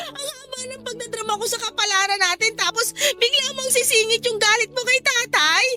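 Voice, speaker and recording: A woman with a Filipino accent playing a devoted, forgiving young adult daughter, earnest and gently persuasive, in a Tagalog radio drama. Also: very high-pitched, unhurried, exaggerated voice. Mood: gently chiding. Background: music.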